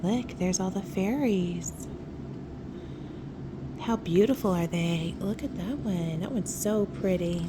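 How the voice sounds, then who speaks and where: A woman reading a picture book aloud to young children, with gentle, admiring, chatty asides. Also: on the low side, slow, noisy background.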